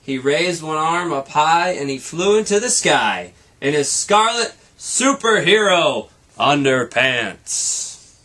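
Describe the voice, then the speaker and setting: A man reads a children's story in a bright, forceful voice. He takes his time over the rhythmic verse and delivers it with drama and playful asides, like a teacher reading to a class. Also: on the high side, sing-song, slow. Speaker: teacher reading aloud to students. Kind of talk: expressive picture-book read-aloud.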